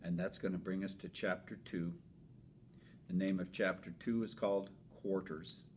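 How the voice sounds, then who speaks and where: A man who teaches elementary school reads a children's storybook aloud in an expressive, emphatic way. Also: moderate pace. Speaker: fifth grade teacher reading aloud. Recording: noisy with low rumble.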